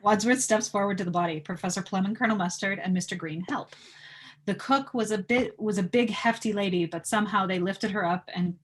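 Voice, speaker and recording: A woman's voice: medium pitch, fairly quick. A woman reading stage directions as narrator in a live table read of a script.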